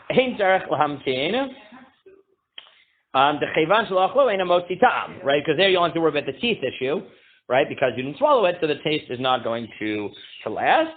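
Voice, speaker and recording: A man teaching a casual Torah class, halting with many fillers, reading Hebrew text aloud and translating it. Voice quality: booming voice. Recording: tinny phone-like line.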